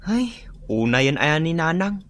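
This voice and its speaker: A woman's voice: low voice, sing-song, unhurried. A woman playing an exasperated grown child with an Ilocano accent in a comedy radio drama. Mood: indignant. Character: exasperated grown child complaining about mother.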